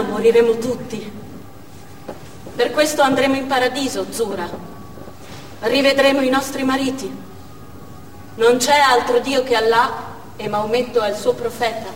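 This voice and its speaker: A woman's voice: on the high side, a little hiss or hum, close to an everyday voice. An actress playing a devout, fearful Chechen woman militant, narrating in a theatrical stage monologue. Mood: tense, fearful. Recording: echoey theatre hall, distant, faint music.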